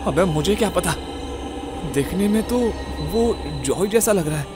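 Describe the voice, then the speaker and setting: A man's voice: high voice, animated, unhurried, background noise, pitched above the performer's own voice. A man acting as a bold, bossy young ghost-case investigator in a comic horror audio drama, brash and scolding. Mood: exasperated, puzzled.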